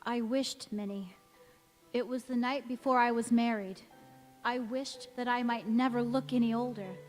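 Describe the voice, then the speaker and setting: A woman's voice: fairly high pitch, steady tone, normal speed, natural voice. A woman acting in a stage radio play as an anguished, devoted young wife who is cursed never to age, speaking in an earnest, melodramatic way. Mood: desperate, resolute. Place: large reverberant church or hall. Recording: echoey hall, music behind.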